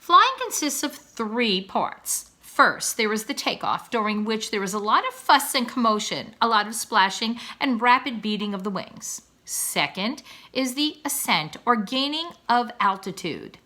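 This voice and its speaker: A proud father swan from a children's storybook, acted out by a woman, speaking in grand, florid declamation. The voice is bright and forceful, with plenty of rise and fall, as if every sentence were a lecture to his young. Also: fairly high pitch, animated, unhurried. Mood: instructive, exalted. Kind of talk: children's storybook read-aloud.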